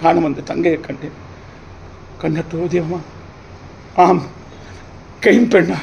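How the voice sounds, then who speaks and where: A man playing a wronged, defiant accused brother pleading his case in court, declaiming in theatrical old Tamil film oratory with dramatic pauses. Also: on the high side, vocal fry, darkish tone, a little hiss or hum. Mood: sorrowful.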